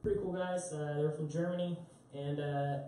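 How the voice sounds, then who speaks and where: A man's voice: on the high side, steady tone, moderate pace, dark tone, slight background noise. A young man doing live stand-up, telling rambling, self-mocking stories and laughing at himself on a small club stage. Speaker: stand-up comedian. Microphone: handheld mic, heard through a far camera. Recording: roomy echo, distant live capture.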